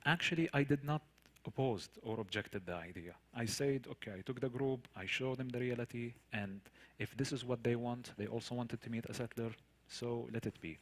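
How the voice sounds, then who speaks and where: A man from the Palestinian territories gives a personal talk in accented English, with Arabic as his first language. His pitch sits in the middle for a man. He speaks earnestly, stressing key points through repetition and rhetorical questions. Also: normal speed. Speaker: guest speaker, tour guide. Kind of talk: personal storytelling in a public talk.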